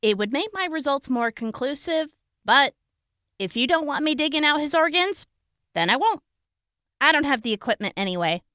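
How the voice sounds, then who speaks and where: A woman acting a brisk, clinical young examiner in a post-apocalyptic audio drama, explaining gory details frankly. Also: high-pitched, very animated, sharp tone, no echo, studio quality, exaggerated voice. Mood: matter-of-fact.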